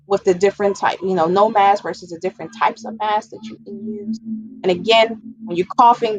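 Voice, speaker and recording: A woman's voice: mid-range voice, dull audio. A woman giving an informal, explanatory public health presentation, stressing and repeating her key points as an educator.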